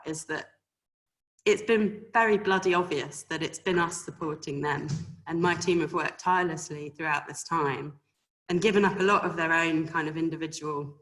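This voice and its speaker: Woman with a lowish voice, runs a shop, talking about her own experience on a panel. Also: a bit bright, low-quality recording. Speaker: food shop owner, panel speaker. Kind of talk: personal account in a panel discussion.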